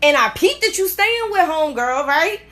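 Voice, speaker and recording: A woman telling a personal story casually and candidly, blunt and profane, with lots of slang and animated acting-out of her reactions. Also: extremely high pitch, moderate pace. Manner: animated, acts out quoted reactions. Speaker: storyteller hosting own vlog.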